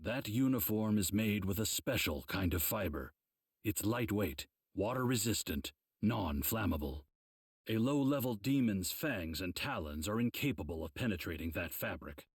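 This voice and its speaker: An actor playing a grave old mentor of demon slayers, explaining things in a measured, solemn way for a video game. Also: male voice, on the low side, animated, husky, no room sound, studio quality. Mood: calm, instructive. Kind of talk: English game dub, measured explanatory dialogue.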